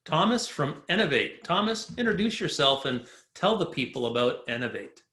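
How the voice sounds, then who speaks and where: Bright-voiced male host, echoey room. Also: a little high, very animated, normal speed. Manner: upbeat, conversational, uses "you know" often. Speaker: podcast host. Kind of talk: business interview host.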